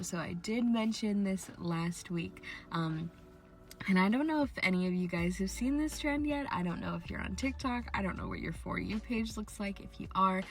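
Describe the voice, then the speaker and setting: A young woman chatting casually as she hosts her art channel, rambling and laughing often, a little raspy from a cold. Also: on the low side. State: coming down with a cold, a bit raspy. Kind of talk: casual chat over a speed paint.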